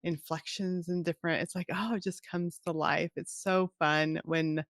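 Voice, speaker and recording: A woman's voice: lowish voice, steady tone, moderate pace, dry recording, studio quality. A middle-aged woman hosting a video-call interview, chatty and gushing about books. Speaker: host. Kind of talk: casual interview chat.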